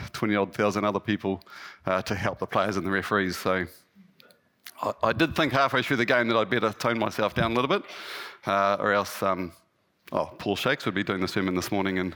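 A man with a New Zealand accent and a slightly husky voice, giving an informal, lightly humorous, self-deprecating sermon to a congregation as a lay guest preacher. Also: lowish voice, fairly bright tone.

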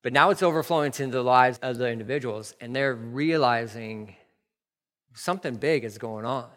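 A man preaching a sermon as pastor, telling stories in a lively, conversational way. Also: medium pitch, moderate pace. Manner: storytelling, animated and conversational. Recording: long hall reverb.

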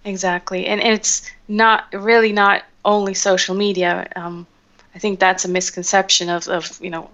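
Swiss woman with a gravelly voice. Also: medium pitch, level tone, a bit bright, muffled recording. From Switzerland. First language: not English. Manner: thoughtful, with pauses and fillers. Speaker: guest, social media trainer. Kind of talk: podcast interview.

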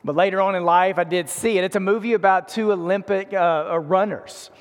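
A man's voice: sits high, brisk. A man in his forties preaching in a conversational, emphatic style, repeating phrases for weight. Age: 40s. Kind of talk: conversational sermon. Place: large indoor room before an in-person audience. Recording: echoey hall with long reverb.

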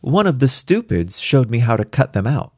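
A man's voice: medium pitch, sing-song, normal speed, no echo, studio quality, pitched above the performer's own voice. A man voicing a precocious four-year-old girl in a storybook reading, matter-of-fact, patient and superior. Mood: confiding, assured.

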